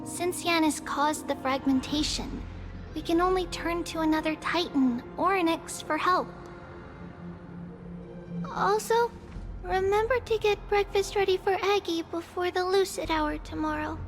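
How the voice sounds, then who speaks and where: Innocent little girl, piping very high and slow, childlike game character over faint music. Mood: earnest explaining. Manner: childlike, simple halting phrases. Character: tiny childlike girl, innocent, timid, speaks in third person. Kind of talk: scripted video game drama acting.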